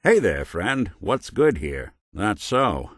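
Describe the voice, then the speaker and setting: A low male voice gives life to a decent, weary robot detective out of a noir video game. The delivery is wry and sardonic, with folksy tough-guy turns and lively rises and drops. The sound is very dry, with no room around it. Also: takes it slowly, dry recording, heavily acted. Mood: wry, easygoing.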